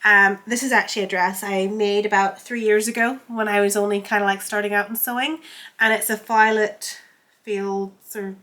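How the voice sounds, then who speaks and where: A woman's voice: mid-range voice, little pitch movement, normal speed, pushed and bright. A woman with a congested, head-cold voice, chatting casually as the host of a sewing vlog while feeling under the weather. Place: home sewing room.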